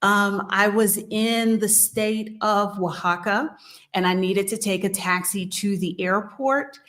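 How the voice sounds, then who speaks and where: Woman host, casual advice chat, slow unhurried delivery, mid-range voice, thinks aloud with ums. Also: mid-range voice, steady tone, fairly bright tone. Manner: unhurried, thoughtful, frequent ums and restarts. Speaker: host of a move-abroad channel. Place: living in Mexico.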